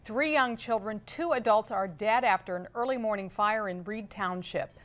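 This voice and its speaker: A woman's voice: mid-range voice, moderate pace, a bit bright. A woman with an American accent reading scripted local television news as an anchor.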